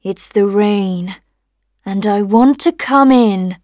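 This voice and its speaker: A woman voicing a sad little rain in a children's storybook, soft and pleading. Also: fairly high pitch, fairly even intonation, slow, no echo, clean studio sound.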